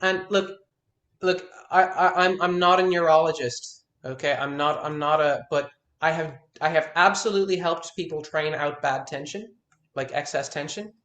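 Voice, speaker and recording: A man's voice: a little high, fairly even intonation, moderate pace, a bit bright, echoey room. A man in his thirties, a guitar teacher, talking frankly in a one-on-one consultation and restarting his words as he stresses his points. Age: 30s.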